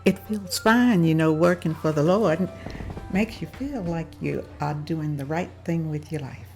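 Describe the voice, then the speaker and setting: A woman reading the part of a polite, devout young schoolteacher at a table read, answering softly and modestly. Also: low voice, unhurried, faint music behind.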